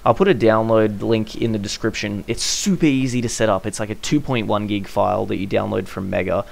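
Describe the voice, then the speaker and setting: A man's voice: lowish voice, brisk, creaky. A young Australian man with an Australian accent, chatting casually as a gaming YouTuber narrating over gameplay, correcting himself with little laughs.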